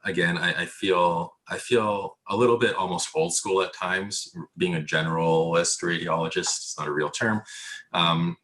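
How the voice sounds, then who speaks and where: Male interview guest, doctor: extremely low, slightly raspy voice, explanatory and conversational, on a video call. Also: fairly even intonation, moderate pace, a bit bright. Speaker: emergency radiologist, interview guest. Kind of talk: casual interview answers. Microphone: video call microphone.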